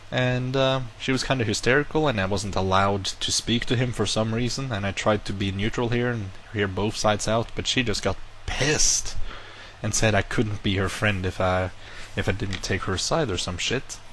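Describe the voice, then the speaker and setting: A man's voice: mid-range voice, a little hiss or hum, poor audio. A man who is not a native English speaker, casually telling a long personal story, rambling and correcting himself as he goes. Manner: rambling, self-correcting, animated retelling. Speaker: vlogger. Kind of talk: casual monologue to camera.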